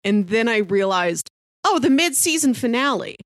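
A woman's voice: fairly high pitch, sing-song, moderate pace, no echo, clean studio sound. A woman co-hosting a podcast, casually thinking aloud in a reflective, analytical way. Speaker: podcast co-host. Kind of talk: casual TV discussion.

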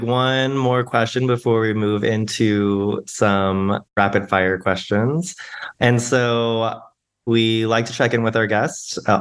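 A man co-hosting a podcast, asking questions in a relaxed, easygoing way. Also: medium pitch. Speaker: podcast co-host. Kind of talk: casual interview conversation.

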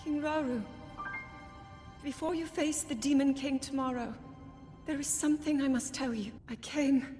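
Solemn young princess from a video game woman acting, deliberate, music behind, big reverb. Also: sits high, steady tone, dark tone, lightly acted. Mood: solemn, urgent. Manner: solemn, grave, deliberate delivery. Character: earnest young princess warning her king.

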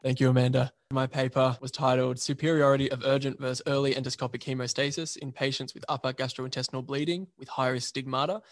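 A man, an emergency registrar, presenting a journal paper by reading a prepared summary in a measured, methodical way. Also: medium pitch, little pitch movement, dry recording.

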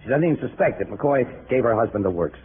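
Guy acting cocky american crime photographer in old radio drama, slangy n pushy, real animated, tinny noisy sound. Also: medium pitch, fast talker, lightly acted. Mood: insistent. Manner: slangy, pushy, self-assured. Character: brash, cocky, fast-scheming crime photographer man. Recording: scratchy old radio broadcast, thin phone-like band.

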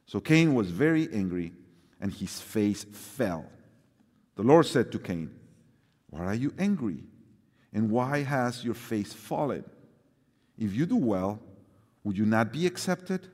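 Mexican-accented man preaching in a hall. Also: fairly low pitch. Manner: lively reading with hesitant fillers in speech. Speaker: guest preacher. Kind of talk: scripture reading and sermon. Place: large church sanctuary. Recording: long hall reverb.

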